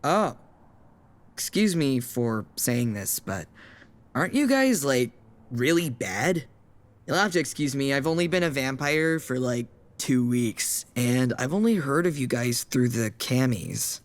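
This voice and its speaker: A man's voice: medium pitch, animated, measured pace, natural voice. A man playing a cautious, newly turned vampire in an audio drama, speaking tentatively and informally. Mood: hesitant, curious.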